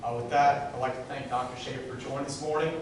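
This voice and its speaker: A man's voice: mid-range voice, flat delivery, quick pace. A man introducing a speaker at an event, announcing in a loose, joking way. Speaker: event host. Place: large echoey room, in front of an audience. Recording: very echoey room, distant, audible noise.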